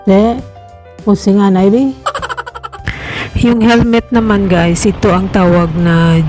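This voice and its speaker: A young woman chatting through a casual product review as a vlogger, unhurried and full of pauses and fillers. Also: mid-range voice, steady tone, dark tone, soft background music.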